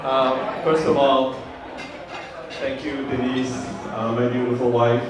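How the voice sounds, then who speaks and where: A man's voice: medium pitch, flat delivery. Man in his fifties, speech in echoey hall. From Vietnam. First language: Vietnamese. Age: 50s. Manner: halting, many fillers and restarts. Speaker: birthday host giving a speech. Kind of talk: informal speech to guests. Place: large room at a party. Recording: echoey party room, mic far off. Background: crowd of guests.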